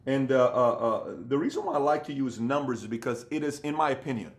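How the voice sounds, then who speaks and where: A man's voice: medium pitch, sing-song, fast talker. Punchy motivational man coaching on camera. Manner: emphatic, repetitive, acts out other people's voices. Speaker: business coach. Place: indoor room with bookshelves.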